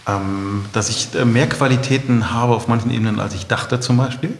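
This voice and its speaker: A middle-aged man giving reflective interview answers as a guest, haltingly searching for his words. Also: medium pitch, a little hiss or hum. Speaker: guest, company co-founder. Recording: echoey, reverberant room.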